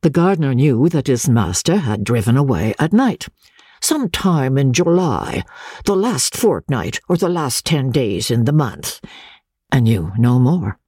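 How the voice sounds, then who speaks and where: A woman narrating classic literature as a dramatic audiobook reading, with measured, formal, deliberate phrasing. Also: rumbling low, sing-song, mellow, breathy edge, no room sound, studio quality.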